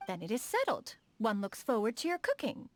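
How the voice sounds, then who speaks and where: A woman's voice: on the high side, wildly swooping pitch, a little fast. A woman voicing a proud, refined immortal inventor in a video game, with formal, archaic and lofty diction. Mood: gracious, self-assured. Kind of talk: scripted video game character dialogue.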